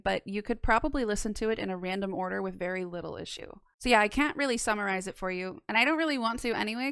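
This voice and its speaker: A woman's voice: mid-range voice, gravelly, dry recording. A young woman narrating a review like a video essayist, conversational and wry, close to the mic. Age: young adult. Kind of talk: semi-scripted review narration with casual asides. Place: small indoor room. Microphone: close microphone on a boom arm.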